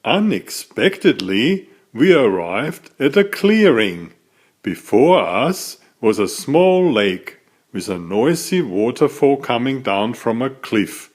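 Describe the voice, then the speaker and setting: A man reading a children's story aloud as a narrator, in a deliberate, dramatic storytelling manner. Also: medium pitch. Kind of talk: expressive scripted storybook narration.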